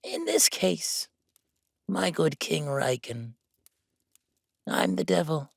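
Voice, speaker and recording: A high, theatrical voice for a smug, calculating count in an audio drama. The delivery swoops widely and takes its time, savoring each taunt with grand self-assurance. The recording is close and dry. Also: male voice, animated, takes it slowly, vocal fry, dry recording, exaggerated voice. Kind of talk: villain audio roleplay.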